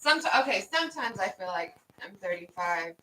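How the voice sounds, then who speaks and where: A woman's voice: mid-range voice, fairly quick, distant mic, poor audio. A woman in her twenties chatting casually with her mother, telling stories with lots of "like". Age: 20s. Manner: animated storyteller, says "like" a lot. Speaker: daughter and co-host. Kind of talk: casual family chat.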